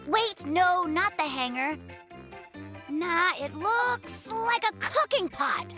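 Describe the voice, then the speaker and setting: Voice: extremely high pitch, lively intonation, slow pace, over music, exaggerated voice. An actor voicing an eager, boastful young bear cub who plays magician in a children's cartoon, with showy, theatrical patter.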